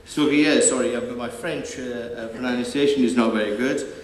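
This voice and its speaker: Halting man in an echoey hall. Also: mid-range voice, steady tone. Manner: halting, many fillers and restarts. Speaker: panel moderator. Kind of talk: hesitant live introduction. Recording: distant mic, echoey hall, faint noise.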